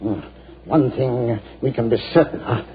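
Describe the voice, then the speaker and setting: An actor playing a stern, proud middle-aged general in a 1940s radio drama, earnest and prone to stammering. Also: male voice, medium pitch, a little slow, lightly acted. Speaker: army general. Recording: lo-fi old radio broadcast.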